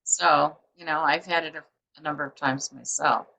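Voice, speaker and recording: Low-voiced, forceful woman host. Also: steady tone, moderate pace, gravelly, poor audio. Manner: chatty, rambling, many fillers. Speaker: publisher hosting a book show. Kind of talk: casual interview chat. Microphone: video call.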